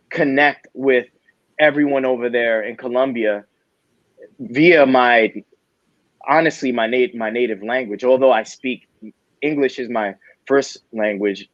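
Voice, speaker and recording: A man's voice: medium pitch, no echo. Laid-back New man, dry muffled audio. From New York City. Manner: relaxed, candid, streetwise slang. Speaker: guest DJ. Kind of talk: casual interview chat.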